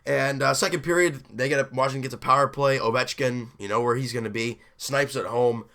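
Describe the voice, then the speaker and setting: Hoarse young male fan host, punchy bright delivery, excitable recap. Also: mid-range voice, a little fast. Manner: excited, rambling, self-interrupting. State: hoarse, deep rough voice after a late night. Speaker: hockey fan video host. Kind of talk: casual game recap.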